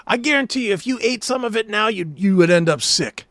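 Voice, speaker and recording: A man's voice: high-pitched, animated, a little fast, fairly bright tone, no echo, studio quality. An American man talking casually as a talk show co-host, blunt, profane and cantankerous. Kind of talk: casual chat, ranting.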